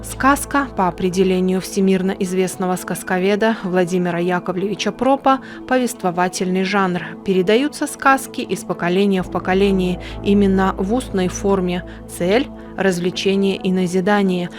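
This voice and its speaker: Lady doing scripted documentary voiceover, medium pitch, music clearly playing behind her. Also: moderate pace, hardly any pauses. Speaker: documentary narrator. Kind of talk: scripted narration.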